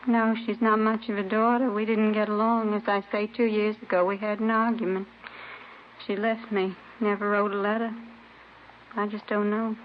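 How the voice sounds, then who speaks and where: A woman's voice: medium pitch, flat delivery, moderate pace, natural voice. A woman playing a weary, resigned older American mother who runs a laundry, in a 1950s radio drama. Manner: plain, resigned. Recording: muffled, noisy old radio recording.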